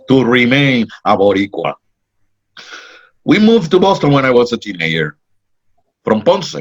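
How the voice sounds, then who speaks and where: A fussy, indignant Puerto Rican man, played by an actor with a Puerto Rican accent. He talks in a sarcastic, complaining way, at a middle pitch. The audio is dull and lo-fi. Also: breathy edge. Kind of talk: play reading.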